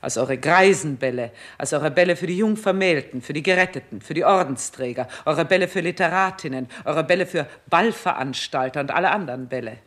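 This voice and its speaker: A woman's voice: low voice, fairly quick, few pauses. An actress playing a stern, scornful older queen in a 1960s radio play, with speech and an imperious, declamatory delivery. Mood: cold sarcasm. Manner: imperious, biting, declamatory, emphatic enumerations.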